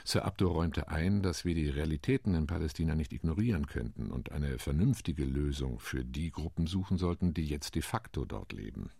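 Male actor as a middle-aged UN delegate for a radio drama: deep, raspy, steady reporting tone. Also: no echo, clean studio sound, exaggerated voice. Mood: measured. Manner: measured, reporting. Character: sober male diplomat recounting committee talks. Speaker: UN commission delegate. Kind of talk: radio play monologue.

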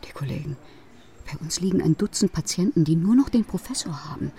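An actress in a radio drama playing a guarded, worried East German clinic secretary with a clipped, discreet manner. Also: female voice, sits low, lively intonation, fairly quick, warm tone. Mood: worried, hushed.

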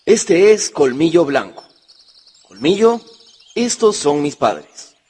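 Radio drama son: caring, playful dog owner, animated delivery, husky edge. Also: male voice, a little high, slow, full character voice.

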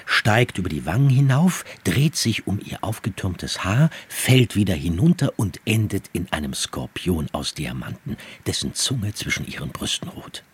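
A man narrates a literary radio drama from a script. His voice is deep, with wide intonation, and his delivery is measured and descriptive. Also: sing-song, slightly rough.

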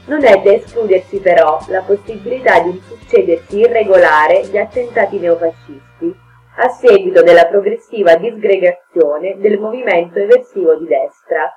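A woman's voice: mid-range voice, darkish tone, low-quality recording. A woman reading a scripted historical documentary for radio in a formal, written-text manner. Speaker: radio programme narrator.

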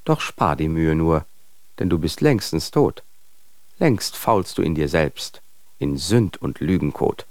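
An actor playing a bitter husband who declaims scornful verse solemnly and theatrically in a radio drama. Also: male voice, very deep voice, expressive, slow, darkish tone, no room sound, heavily acted. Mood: scornful, accusing.

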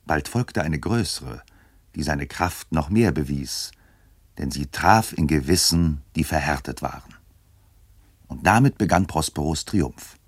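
A man's voice: extremely low pitch, expressive, unhurried, husky, dry recording, clean studio sound. A man narrating a scripted story as the narrator of a radio play.

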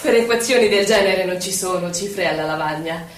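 A woman's voice: lowish voice, brisk, lightly acted. Actress as earnest poetic woman, heavy echo. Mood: earnest, pensive. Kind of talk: theatrical poetry recitation. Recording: echoey hall from far off, faint music. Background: faint music, violin.

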